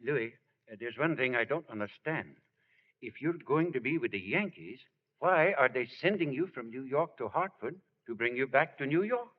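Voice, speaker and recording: A man's voice: medium pitch, animated, moderate pace, natural voice. A man playing a worried, subdued older father in 1940s radio acting. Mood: uneasy. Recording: tinny old radio broadcast recording.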